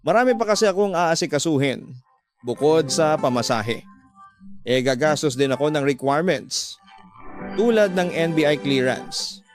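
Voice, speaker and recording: A man's voice: fairly high pitch, expressive, moderate pace, soft background music, lightly acted. A Filipino man voicing a jobless young boyfriend who coaxes for money in radio drama dialogue. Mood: coaxing.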